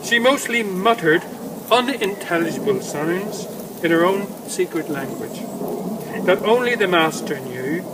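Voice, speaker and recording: A man reading a story aloud outdoors in a dramatic, deliberate manner, with emphatic phrasing and pauses. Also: high voice. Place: outdoors in woodland. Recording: faint outdoor background noise. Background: faint woodland ambience.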